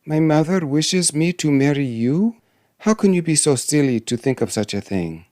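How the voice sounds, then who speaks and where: A man's voice: a little high, normal speed, darkish tone, no echo, clean studio sound, pitched above the performer's own voice, close to an everyday voice. A man voicing a proud young fairy tale princess with a plaintive, haughty manner, in a storybook reading. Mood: indignant.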